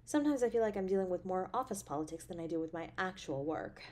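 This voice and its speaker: A woman voice actor playing an affectionate, playful, caring girlfriend in an intimate roleplay audio drama, with a tender, expressive delivery. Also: fairly low pitch, fast, natural voice. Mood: sympathetic, chatty.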